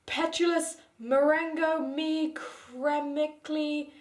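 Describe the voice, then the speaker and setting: A woman voicing a huge gruff man, earnest and easily angered, speaking slowly and overdramatically in an amateur fan fiction dramatization. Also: sits high, steady tone. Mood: solemn, grandiose. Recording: echoey room.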